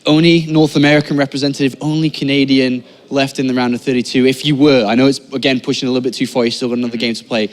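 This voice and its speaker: Fast upbeat male interviewer in a hall. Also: medium pitch, level tone. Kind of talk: post-match interview.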